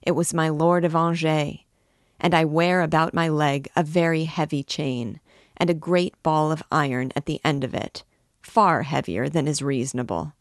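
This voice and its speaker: A man's voice: sits high, fairly even intonation, measured pace, dry recording, clean studio sound, voice pushed up. A woman voicing a desperate male prisoner, begging the king for mercy in tearful pleas. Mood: pleading.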